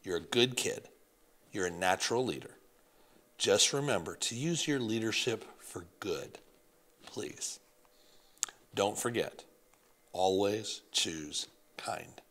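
Kind male principal, gentle, measured, wide-ranging delivery, read by a male narrator. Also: lowish voice, lively intonation, pitched below the performer's own voice, exaggerated voice. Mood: gentle, earnest. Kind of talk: audiobook reading of a letter.

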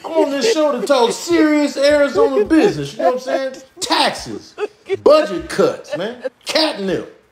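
A man's voice: extremely high pitch, big pitch swings, unhurried, echoey room, a crowd in the background, pitched above the performer's own voice, full character voice. A man voicing an indignant cat as a slangy, offended news guest in a comic voiceover.

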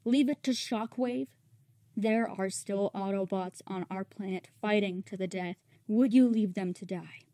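A woman's voice: medium pitch, unhurried, warm tone, dry recording, lightly acted. A woman playing a battle-hardened, bitter robot warrior, stern and weary, in a dramatic comic dub. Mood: bitter, accusing.

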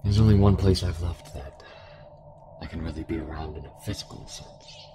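Man voicing a very deep, weary cult villain. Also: fairly even intonation, noisy background, close to an everyday voice. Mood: resigned. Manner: slow, resigned, taunting. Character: weary, menacing male cultist villain in spirit projection. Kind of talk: audio roleplay voice acting. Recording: music behind the voice.

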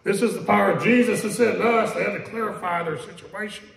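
Gravelly high-voiced male preacher, echoey church. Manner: conversational preaching, repeats questions, quotes scripture slowly. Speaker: pastor. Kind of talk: sermon. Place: church sanctuary.

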